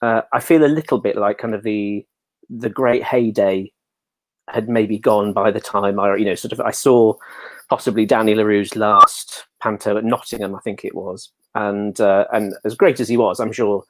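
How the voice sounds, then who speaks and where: A man's voice: on the low side, steady tone, moderate pace, a bit bright, no echo. An English man chatting casually and telling anecdotes as an interview guest, with a jokey, self-deprecating manner. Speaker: interview guest, pantomime dame performer.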